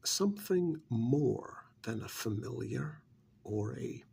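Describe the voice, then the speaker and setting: A man playing a tactful, bureaucratic vampire clan familiar in an ASMR role-play, speaking softly and hesitantly with measured pauses and a breathy, gravelly voice. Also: medium pitch, expressive, a little hiss or hum, full character voice. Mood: measured, reassuring. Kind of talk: ASMR role-play, whispery soft speech.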